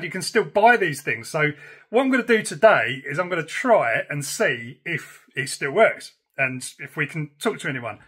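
Middle-aged man, southern English, slightly high-pitched voice, informal and chatty hobbyist vlogger. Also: pushed and bright, slightly rough. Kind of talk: casual vlog chat and radio calls. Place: house and back garden.

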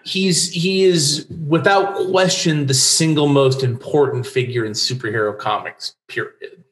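Middle-aged American male interviewee, informative and discursive, mid-range pitch, roomy home recording. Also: fairly even intonation, unhurried. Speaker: interview guest, playwright. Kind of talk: casual explanatory interview talk. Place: home room lined with bookshelves. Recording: roomy home video call.

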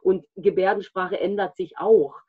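A man's voice: sits high, steady tone, unhurried. A man explaining a point in an interview over tinny, phone-like call audio. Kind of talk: casual interview answers.

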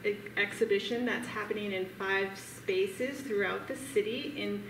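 Gravelly woman host welcoming a gallery crowd, recorded far off in an echoey hall. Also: mid-range voice, a little slow. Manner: friendly, thanking people, inviting guests up. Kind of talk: event welcome. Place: large gallery hall, indoors. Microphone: distant camera mic. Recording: echoey room from far off, background noise.

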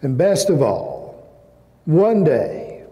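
A man in his sixties preaching a sermon as a pastor, with measured, emphatic phrasing, pauses and repetition. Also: a little high, sing-song, dull audio. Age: 60s. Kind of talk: sermon with personal anecdotes. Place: large church sanctuary, indoors at a pulpit. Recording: reverberant church hall.

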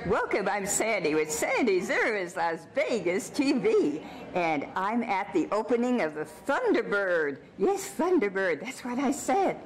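A woman's voice: medium pitch, sing-song, unhurried, few pauses. A woman hosting a TV show, interviewing guests at an event in an enthusiastic, chatty way. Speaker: TV host, interviewer. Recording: noisy outdoor and party ambience.

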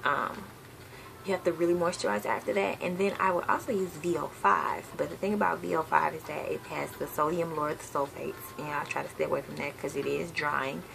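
Young woman vlogging from her couch, kinda low gravelly voice, lots of ums, hissy webcam sound. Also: steady tone, a little fast. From Southern United States. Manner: chatty, rambling, many ums and self-corrections. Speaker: hair vlogger. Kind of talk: casual vlog chat. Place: bedroom, seated on a patterned couch, indoors. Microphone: webcam at desk distance. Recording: gravelly voice with clear background hiss.

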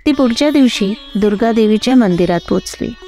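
A woman reading a scripted moral story in a plain, steady way. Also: fairly high pitch, a little fast, soft background music. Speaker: narrator.

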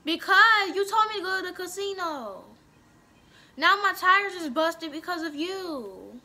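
A woman's voice: extremely high pitch, lively intonation, measured pace, pushed and bright. A young woman casually commenting on a video game at home, bickering with her brother and swearing a lot. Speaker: gaming vlogger.

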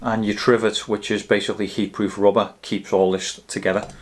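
A man's voice: fairly low pitch, flat delivery, a little fast, background noise. A man in his thirties or forties giving a casual, matter-of-fact gear review to camera. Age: 30s to 40s.

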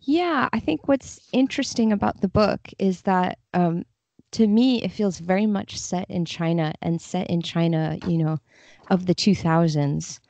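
Mellow, lower-voiced woman, slightly muffled recording. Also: measured pace, no echo. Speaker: podcast guest, literary translator. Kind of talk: casual explanatory interview talk. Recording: slightly dull, muffled audio.

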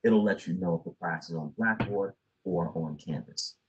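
A man's voice: deep voice, moderate pace, breathy edge. A dean in his forties answering student questions at a virtual town hall, advising with many fillers and restarts. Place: home office. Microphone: video call with earbuds. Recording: dull, muffled video call.

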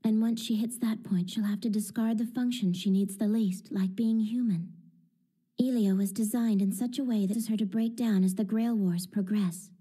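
A woman voicing a confident, analytical teenage girl in an anime dub, explaining briskly. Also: medium pitch, fairly even intonation, mellow, breathy edge, natural voice. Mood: concerned. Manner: brisk, explanatory, self-assured. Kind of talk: anime English dub acting. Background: background music.

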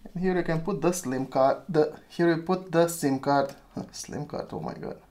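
A chatty man talks through a hands-on gadget review, thinking aloud and chuckling at his own slip-ups. His voice sits a little higher than most men's. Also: normal speed, a little mellow, slight background noise. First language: Romanian. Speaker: retro phone reviewer.

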